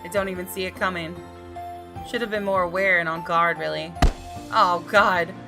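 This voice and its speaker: A woman's voice: fairly low pitch, steady tone, normal speed, gravelly. A woman gaming host reads a visual novel aloud with suspenseful expression and slips into casual commentary. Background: game music.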